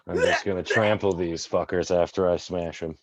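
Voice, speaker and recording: A man with a very low voice takes his turn as a player in a tabletop roleplaying game. He talks casually over an online call, and the audio is somewhat dull and lo-fi. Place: indoors on an online group call. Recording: muffled, boxy online call with room echo.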